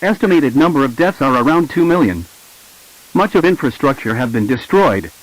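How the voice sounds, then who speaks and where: A man's voice: medium pitch, lively intonation, normal speed, rough recording. A man reading a scripted emergency broadcast announcement in a formal, impersonal way.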